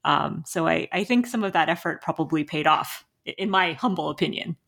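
Earnest academic woman hosting, low-ish voice, lots of "you know". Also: brisk, gravelly, fairly bright tone. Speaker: academic interviewer. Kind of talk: academic interview.

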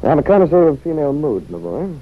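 A husky, smooth-talking radio-serial charmer. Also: male voice, on the high side, big pitch swings, fast. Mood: wry, teasing. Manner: suave, gallant, teasing, wordy. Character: smooth, flirtatious, idle male charmer with a calculating streak. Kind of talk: 1940s radio serial acting. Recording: dull, lo-fi old radio recording.

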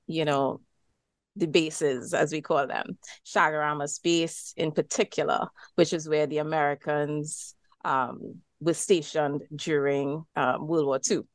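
Trinidadian woman academic, low voice, dry close sound, thoughtful guest. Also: no echo. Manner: thoughtful, many fillers and restarts. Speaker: historian and co-editor guest. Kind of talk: academic interview.

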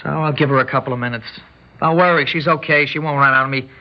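A male actor plays a young small-time crook with a slightly high voice. He talks in rough, uneducated slang, brusque and cocky. The sound is that of a muffled vintage radio drama. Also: fairly even intonation, fast, close to an everyday voice. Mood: impatient. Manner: brusque, slangy tough-guy talk. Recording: dull old radio recording.

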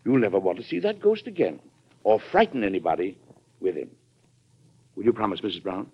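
Earnest American president, widely swinging voice. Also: male voice, fairly low pitch, sing-song, slightly rough. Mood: gentle, coaxing. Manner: measured, paternal, persuasive. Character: earnest, fatherly American president, firm but weary. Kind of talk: vintage radio drama acting. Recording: muffled vintage radio recording.

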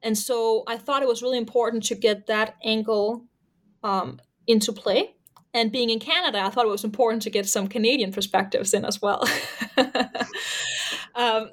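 A Danish woman with a slightly high voice talks through her historical research as an interview guest. She is thoughtful, and her sentences often restart with fillers as she works out her points. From Denmark. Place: Canada.

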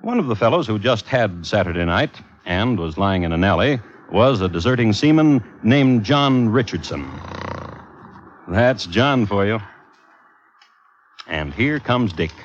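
An actor playing a wry, genteel crime connoisseur, narrating with dry, measured delivery as a radio host. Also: male voice, on the low side, animated, a little hiss or hum. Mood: deadpan, wry. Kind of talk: 1950s radio scripted narration. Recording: muffled old radio recording, music behind.

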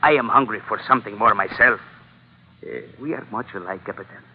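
Sly Spanish-accented gangster, tinny radio sound. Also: male voice, lowish voice, husky. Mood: sly, friendly persuasion. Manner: insinuating, chuckling, halting foreign phrasing. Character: sly, chuckling gangster lieutenant, man of thirty-one. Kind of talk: 1940s radio acting. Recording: tinny, faintly noisy old radio recording.

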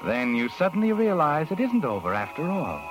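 An actor playing a knowing radio narrator who speaks in an insinuating, taunting second person. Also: male voice, on the high side, big pitch swings, fairly quick, poor audio. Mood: sardonic, insinuating. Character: knowing, sardonic narrator addressing a guilty woman. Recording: vintage radio recording with music.

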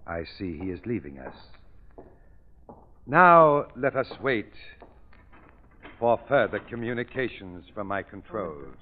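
A man's voice: on the low side, sing-song, slow, pauses often, narrow, tinny audio, exaggerated voice. A man in an old radio drama playing a grave spirit medium, addressing his seance with ceremony. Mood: solemn. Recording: thin radio recording, long reverb.

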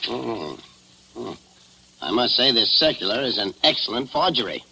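An actor playing an emphatic, talkative detective agency manager in old radio drama style. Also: male voice, medium pitch, very animated, moderate pace, gravelly, sharp tone, exaggerated voice. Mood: eager, emphatic. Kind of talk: 1940s radio drama acting. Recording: noisy old radio broadcast recording.

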